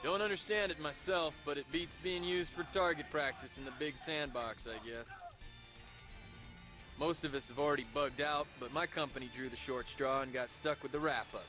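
An actor voicing a weary, cynical army private, narrating a game intro in a dry, sardonic tone. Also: male voice, a little high, steady tone, moderate pace, music in the background, close to an everyday voice.

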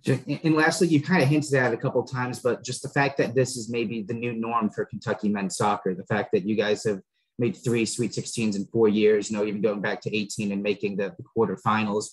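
A man asking a coach long, measured questions at a press conference. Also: on the low side, steady tone, breathy edge, low-quality recording. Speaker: reporter.

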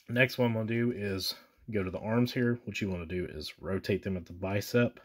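A man with a deep, low-pitched voice talks viewers through a toy step by step. He is casual and chatty, with fillers and self-corrections. He is recorded indoors at a table by an off-screen camera mic. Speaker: toy reviewer. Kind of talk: casual hands-on toy demonstration. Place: indoors at a table with a posterboard backdrop.

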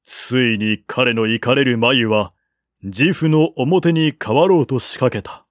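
A man's voice: medium pitch, takes it slowly, no room sound, clean studio sound. A man reading a historical novel aloud as scripted literary narration. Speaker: audiobook narrator.

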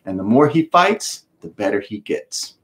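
A middle-aged American man giving an expressive storybook read-aloud with explanatory asides, speaking emphatically with dramatic pauses. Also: lowish voice. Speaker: author reading his book aloud. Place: home room with bookshelves, indoors.

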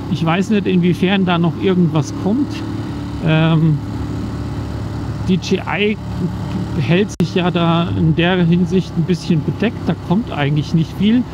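A man's voice: on the high side, measured pace. German man talking from his motorcycle. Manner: relaxed, rambling with many fillers. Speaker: motovlogger reviewing action cameras. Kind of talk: casual vlog explanation. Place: riding a motorcycle outdoors on country roads. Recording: muffled helmet mic with wind and engine noise.

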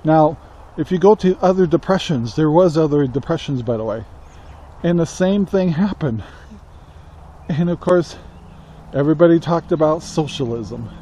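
An older American man in his sixties speaks in a soft-edged, mellow voice that sits a little high. He talks casually to a camera held at arm's length, pausing and picking his words in a plain, reflective way. There is faint outdoor noise around him. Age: 60s. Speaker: country living vlogger. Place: outdoors on a rural yard beside a metal barn.

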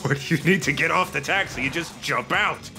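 A man's voice: a little high, a little fast, creaky, music in the background. A man giving casual, joking let's play commentary.

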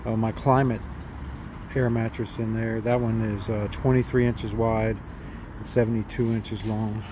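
Man, 40s, low flat delivery, casual gear review, phone mic outdoors with street noise. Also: unhurried. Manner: relaxed, plain-spoken, frequent "um"s. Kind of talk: casual product walkthrough. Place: outdoors in a backyard, inside and beside a tent. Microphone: phone camera, handheld and at arm's length.

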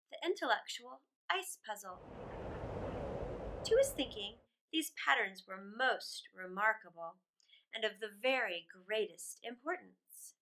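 A middle-aged woman reading a fairy tale aloud to children, expressively, with dramatic pauses. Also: high-pitched, pushed and bright, rough recording. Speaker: children's storytime reader. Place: small indoor room in front of a plain wall.

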